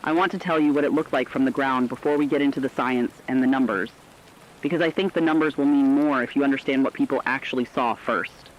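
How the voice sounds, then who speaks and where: A man's voice: mid-range voice, fast talker, dull audio, close to an everyday voice. A man playing a solemn, reflective radio correspondent who tells human stories of disaster in a scripted, personal narrative style.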